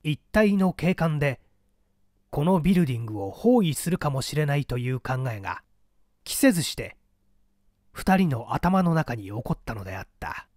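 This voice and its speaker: Expressive male audiobook narrator, dry sound. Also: a little high, normal speed, no echo. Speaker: audiobook reader, stage actor. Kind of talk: dramatic scripted literary narration.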